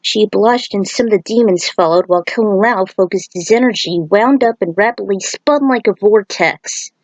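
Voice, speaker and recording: A woman's voice: mid-range voice, moderate pace, no echo, poor audio. A woman reading an action story aloud as a narrator, with casual asides. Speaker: fan fiction author reading aloud.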